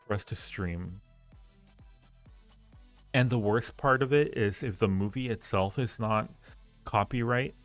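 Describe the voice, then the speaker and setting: A man with a low voice and a lot of fry chats casually to his livestream viewers. He muses aloud, piecing thoughts together as he goes. Faint music plays behind him. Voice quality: low-pitched, gravelly creak. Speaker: livestream host reading viewer chat.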